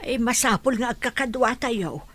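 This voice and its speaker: A woman's voice: on the high side, animated, normal speed, a bit bright, no echo. A woman playing a forgetful, emotional elderly grandmother in a radio drama. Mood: pleading. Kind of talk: Filipino radio drama acting.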